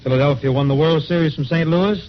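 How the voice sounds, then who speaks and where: A man's voice: a little high, fast talker, a little hiss or hum. A man delivering scripted narration in a vintage radio style, with a dull, old-fashioned sound. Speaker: narrator of a radio history montage.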